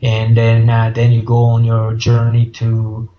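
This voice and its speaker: A man from Brazil with a Brazilian Portuguese accent, a guest author answering interview questions in a halting way, with many fillers and restarts. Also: mid-range voice, monotone, lo-fi sound.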